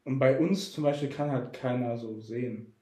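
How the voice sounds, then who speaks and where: A man's voice: medium pitch, moderate pace, mellow, roomy sound. A young man co-hosting a casual podcast chat, laid-back and teasing with slangy talk. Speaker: podcast co-host.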